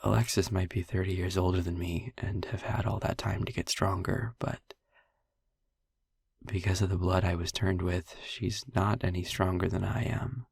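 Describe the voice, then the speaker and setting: A man playing a young vampire boyfriend in an intimate audio roleplay, softly confiding in a breathy, husky near-whisper with halting pauses and wry asides. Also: sits low, level tone, no room sound, clean studio sound, exaggerated voice.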